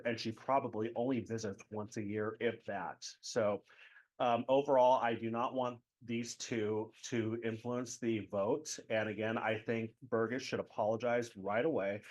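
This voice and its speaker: A middle-aged man calling in by phone to give public comment at a council meeting, blunt and critical, sometimes halting over his words. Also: fairly low pitch. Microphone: phone call.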